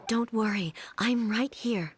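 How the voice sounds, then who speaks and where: An actress playing a composed, solemn female deity and ruler in a video game, speaking in a formal, measured way. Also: fairly high pitch, a little hiss or hum. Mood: calm. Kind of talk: scripted English video game voice acting. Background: game music.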